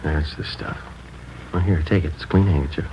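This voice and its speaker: An actor playing a level-headed police detective in a 1950s radio drama, clipped, matter-of-fact and understated. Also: male voice, rumbling low, a little fast. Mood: gently reassuring. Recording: muffled old radio recording, heavy noise.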